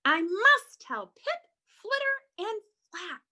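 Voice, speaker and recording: A woman voicing a timid, excitable young female bat in a children's storybook, with squeaky, breathy exclamations. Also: slow, exaggerated voice. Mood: excited.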